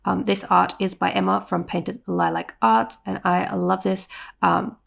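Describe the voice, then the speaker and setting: A woman's voice: medium pitch, fairly even intonation, a little slow, gravelly. A young adult woman, sounding congested and sniffly, gives a chatty, rambling voiceover for her planner vlog. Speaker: planner vlogger. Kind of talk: casual voiceover narration.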